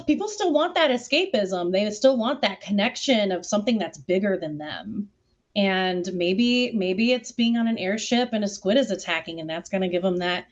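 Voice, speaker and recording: A woman's voice: mid-range voice, lo-fi sound. A woman chatting casually on a panel as a burlesque performer, in a rambling, informal way full of fillers.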